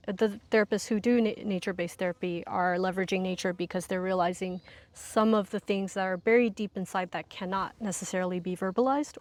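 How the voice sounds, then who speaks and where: A woman speaking as an interview guest and instructor, explaining ideas conversationally and thinking aloud in long sentences. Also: mid-range voice.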